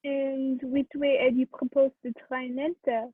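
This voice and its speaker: A formal French police prefect in a radio play, acted by a woman, questioning courteously and methodically. The voice is high and dry, heard through a narrow, phone-like recording. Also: level tone, moderate pace, husky, no echo. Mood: probing. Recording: thin phone-like sound.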